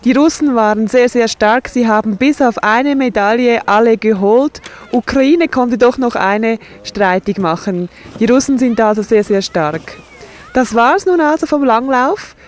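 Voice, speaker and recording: A woman voicing a sign language sports report and interviews in a measured, steady way. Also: on the high side.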